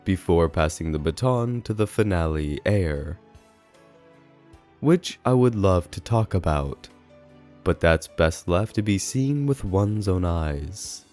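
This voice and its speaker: A man's voice: low-pitched, lively intonation, takes it slowly, a little mellow. A man reading a scripted review as narration, in an earnest and formally worded way. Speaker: video essay reviewer. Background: music under the voice.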